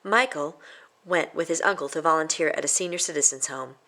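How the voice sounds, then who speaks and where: A woman reading a children's picture book aloud as expressive story narration. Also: deep voice, a little fast. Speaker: storybook reader.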